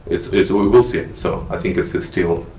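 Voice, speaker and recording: A young man with a very deep voice answers questions haltingly, pausing and restarting often. He is heard from across an echoey lecture room. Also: a little mellow, far from the mic, a little hiss or hum. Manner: hesitant, many fillers and restarts. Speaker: panelist scientist. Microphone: handheld mic.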